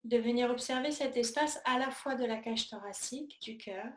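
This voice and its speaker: A woman teaching yoga, guiding a meditation in a calm, soothing, unhurried way with pauses between short phrases. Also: on the high side. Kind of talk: guided yoga and meditation instruction. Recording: echoey room sound.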